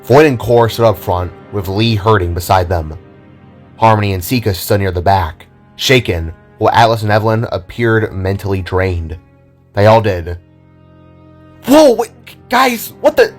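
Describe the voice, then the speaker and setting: A man narrating a scripted audio drama in a measured, theatrical storytelling manner. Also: lowish voice, faint music behind. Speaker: narrator and reader of all parts.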